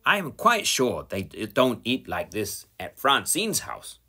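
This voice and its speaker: Lively storybook girl pig, voiced by a male reader. Husky, animated pitch. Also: a little slow, exaggerated voice. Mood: eager. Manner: expressive, lifted storybook voice.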